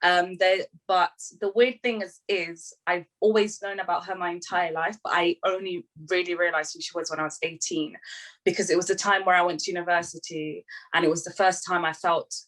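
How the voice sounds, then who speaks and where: A woman's voice: lowish voice, steady tone, a little fast, a bit bright, low-quality recording. A young woman who grew up in London, talking eagerly as a panelist on a video call, with many fillers and restarts. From grew up in London, England. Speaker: panelist, writer and performer. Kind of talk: online panel talk.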